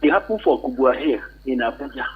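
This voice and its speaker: A man's voice: mid-range voice, lively intonation, fairly quick. A man with a Nigerian accent calling a radio show to give informal advice in Pidgin-tinged English. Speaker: phone-in caller. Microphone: telephone call. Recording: muffled, narrow phone line.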